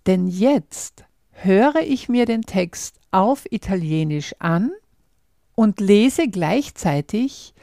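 A woman hosting a language-learning podcast, calmly walking the listener through a method step by step and speaking to them directly. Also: mid-range voice, takes it slowly, no room sound, clean studio sound. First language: German. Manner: calm and explanatory, walks through numbered steps.

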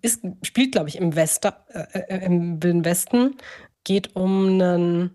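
Female podcast co-host, lower register, informal and chatty, corrects herself as she goes. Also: a little slow, dark tone. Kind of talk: casual podcast chat.

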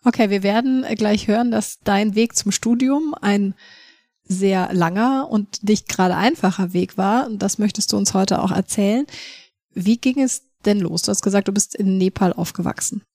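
A woman's voice: mid-range voice, normal speed, dark tone, no room sound. A young woman hosting a podcast in a studio, chatty and enthusiastic in a casual interview. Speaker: podcast host.

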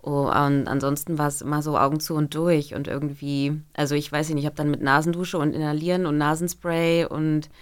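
Low-voiced voice actress in interview. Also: female voice, little pitch movement, a little fast, few pauses, clean tone. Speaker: voice actress guest. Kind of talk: casual interview chat.

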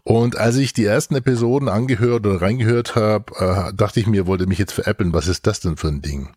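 A man's voice: fairly low pitch, fairly quick, darkish tone, no room sound. A man hosting a casual podcast chat in a jovial, teasing way. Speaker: podcast host. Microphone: close studio mic with headset.

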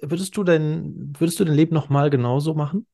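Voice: mid-range voice, fairly quick, vocal fry, mellow. A podcast host chatting casually and informally, with slangy turns and English catchphrases thrown in.

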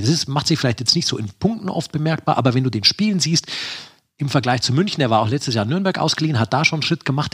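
A man's voice: medium pitch, husky. A man co-hosting a podcast, talking about sports in a casual, conversational way. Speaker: podcast co-host.

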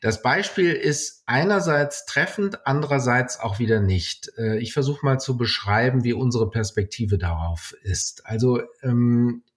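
A man's voice: mid-range voice, measured pace, few pauses. A middle-aged man answering as a company executive in a podcast interview, in long, winding sentences with hesitations and English business terms. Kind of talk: interview answer, explanatory talk.

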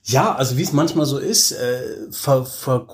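A man's voice: medium pitch, normal speed. A middle-aged man from Hesse, Germany, chatting casually and with easy humour as an interview guest. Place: hotel room.

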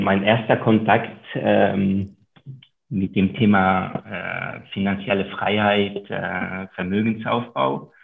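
Man in his 30s, French accent, lowish voice, slow and hesitant, phone-line sound. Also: creaky. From Luxembourg. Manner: hesitant, many ums and uhs. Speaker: guest investor and author. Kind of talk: podcast interview.

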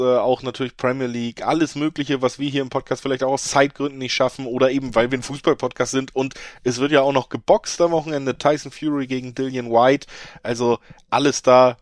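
A man co-hosting a football betting podcast, slightly hoarse from a cold, talking in a rambling, emphatic way and often correcting himself. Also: mid-range voice, little pitch movement, brisk, no echo, clean studio sound. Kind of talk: casual football analysis chat.

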